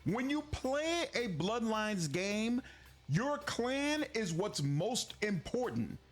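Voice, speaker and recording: Higher-voiced man, gaming creator, exasperated and explanatory, casual talk. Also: very animated, takes it slowly. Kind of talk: casual news commentary.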